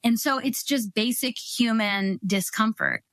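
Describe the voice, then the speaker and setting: A middle-aged woman from Ohio, a guest on an interview show, explaining her ideas in a thoughtful, measured way. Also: on the high side, fairly bright tone, no room sound. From Ohio, USA. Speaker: guest, cross-cultural consultant. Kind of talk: interview answers.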